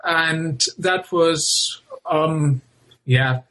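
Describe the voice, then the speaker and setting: A man in his thirties from Germany, a professor answering interview questions carefully with many pauses. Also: on the high side, bright, forceful tone. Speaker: guest, university professor.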